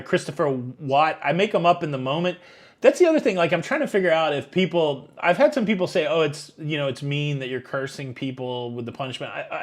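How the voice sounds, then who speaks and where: A man around fifty hosting a live stream, reading viewer questions and answering in a rambling, chatty way with many fillers. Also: medium pitch. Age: 50s. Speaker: YouTube cruise channel host. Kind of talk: live Q&A chat reading super chats.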